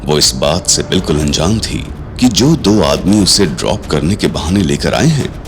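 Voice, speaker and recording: A man's voice: extremely low pitch, animated, moderate pace, background noise. A man narrating a scripted audio drama in a dramatic storytelling style.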